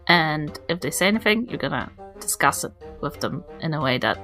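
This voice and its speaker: Woman, tabletop game player, casual role-play chat, bright tone, faint music. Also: sits low, lively intonation, brisk.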